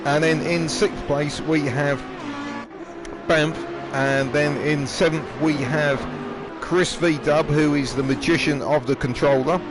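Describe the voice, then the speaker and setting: A man commentating a live sim race, slightly hoarse, chatty with frequent ums and throat clearing. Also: fairly high pitch, steady tone, takes it slowly, over music. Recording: noisy background.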